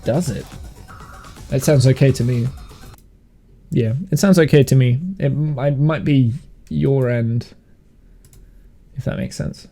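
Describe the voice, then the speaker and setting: A man chatting casually on a gaming livestream, thinking aloud in a rambling way, with a slightly croaky, sniffly voice. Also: medium pitch, unhurried, pauses often, dark tone, soft background music. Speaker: live streamer and game master. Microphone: streaming mic monitored through headphones.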